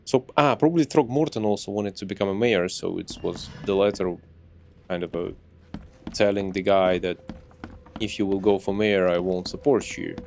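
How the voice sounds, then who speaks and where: A young man from Belarus with a Russian accent chats casually through a game, musing half to himself. His voice sits a little low and has a gravelly edge. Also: moderate pace, darkish tone, slight background noise. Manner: musing, reading game letters aloud. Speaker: streamer. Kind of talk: casual gameplay commentary.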